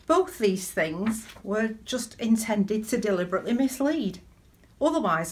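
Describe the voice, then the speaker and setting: A middle-aged woman speaks at a medium pitch, as a deacon leading worship from home. Her delivery is measured and conversational, moving between everyday anecdote and reflective preaching, with rhetorical questions to the listener. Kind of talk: conversational sermon with personal anecdotes. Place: small living room at home, indoors.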